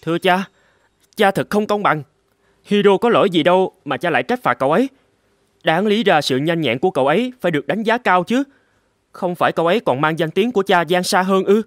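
A man's voice: high-pitched, animated, brisk, dry recording, studio quality. A man voicing an earnest, proud young swordsman in a fairy-tale cartoon, with emphatic, theatrical delivery. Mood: indignant.

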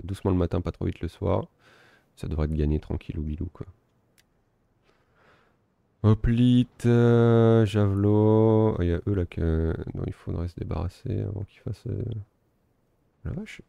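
A man giving relaxed, self-mocking commentary while playing a strategy game, thinking aloud. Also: sits low, normal speed, gravelly, no room sound, lo-fi sound. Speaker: gaming video host. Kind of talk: casual let's-play commentary.

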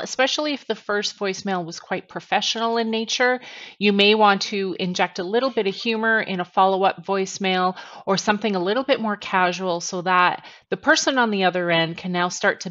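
A woman's voice: mid-range voice, fairly bright tone. A woman in her forties giving instructional tips to camera as a trainer, stressing each point step by step. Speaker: sales trainer presenting tips. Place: small attic bedroom, indoors. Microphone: headset. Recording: dull, lo-fi headset sound.

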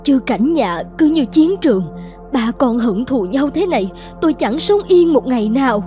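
Woman as an anxious, high-voiced cartoon mother. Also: animated, moderate pace, warm tone. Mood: pleading, anxious. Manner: pleading, earnest. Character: gentle, anxious, pleading middle-aged mother. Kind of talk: animated cartoon drama dialogue. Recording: music behind the voice.

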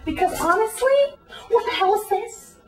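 A woman's voice: extremely high pitch, expressive, normal speed, fairly bright tone. A woman performing a comic monologue as a judgmental, scornful woman, indignant and condescending, with dramatic rhetorical questions. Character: judgmental, scornful woman, switching between English and Spanish.

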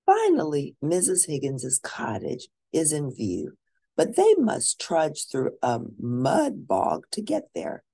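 A woman reading a children's storybook aloud expressively, with drawn-out emphasis for young listeners. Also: low-pitched, wildly swooping pitch, vocal fry, mellow, slightly rough. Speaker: storybook reader.

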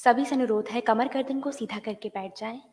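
A woman's voice: on the high side, rapid-fire, a little mellow. Indian woman leading prayer in a reverberant hall. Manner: polite, instructing. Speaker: prayer leader. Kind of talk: spoken instructions for group prayer.

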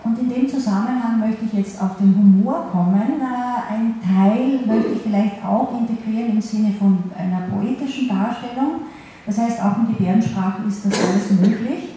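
A woman interpreter voicing a signed lecture in the first person, in a halting way with restarts and pauses, in a large wood-panelled hall. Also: fairly high pitch, warm tone, a little hiss or hum. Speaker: sign language interpreter. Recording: very echoey hall, faint ambience.

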